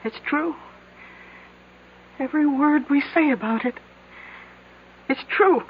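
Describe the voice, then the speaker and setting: An actress playing a shaken, haunted woman in old-time radio drama, speaking in halting wonder. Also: sits high. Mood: dazed, eerie. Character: shaken, haunted sister, eerily convinced by a mountain legend. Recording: tinny phone-like vintage radio, faint hiss.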